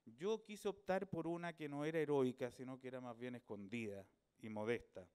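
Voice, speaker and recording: Smooth-voiced Chilean man, reflective. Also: mid-range voice, darkish tone. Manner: thoughtful, unhurried, searching for words. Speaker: author speaking about his book. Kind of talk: reflective public talk. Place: book fair event.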